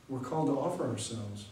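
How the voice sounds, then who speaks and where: A man, a pastor, giving a casual, conversational talk with many fillers and pauses. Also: medium pitch, warm tone, a little hiss or hum. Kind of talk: casual lecture with audience Q&A. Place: large hall with audience. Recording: echoey hall from far off.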